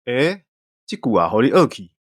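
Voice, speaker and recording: A man's voice: medium pitch, sing-song, moderate pace, no room sound, studio quality. A man in his forties with a Taiwanese accent, co-hosting in scripted casual banter. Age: 40s. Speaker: co-host.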